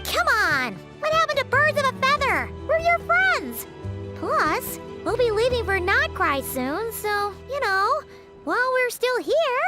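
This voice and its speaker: A woman voicing a tiny, chirpy, childlike fairy companion in a video game, with a squeaky, excitable voice. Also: measured pace, exaggerated voice. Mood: pleading, cheerful. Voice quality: squeaky, very high. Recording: loud music behind the voice.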